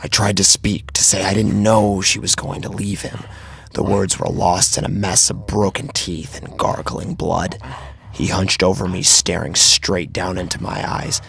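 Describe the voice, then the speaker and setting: A man narrating a first-person horror story dramatically, with a grave, intense delivery and a husky, gravelly voice. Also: sits low, steady tone, measured pace, a bit bright.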